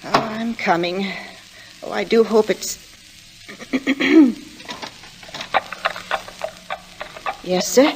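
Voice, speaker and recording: A woman's voice: medium pitch, drawn-out words. An actress in 1940s radio style playing an anxious working-class landlady, fluttery, stammering and eager to please. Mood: uneasy. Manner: fluttery, stammering, servile, bustling. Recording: noisy old radio recording, steady hiss.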